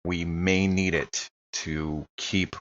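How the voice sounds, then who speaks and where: A man's voice: low voice, level tone, slow, a bit bright, highs cut off, slight background noise. A man playing a tabletop role-playing game, speaking earnestly and deliberating as he talks in character. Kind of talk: in-character roleplay and game talk.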